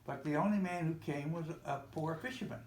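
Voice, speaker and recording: An older man reads a children's story aloud in a somewhat high voice. He goes haltingly, catching and correcting small slips. The room is echoey, with a faint noise underneath. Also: darkish tone. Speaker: parent reading a storybook aloud. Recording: roomy, echoey home recording with faint noise.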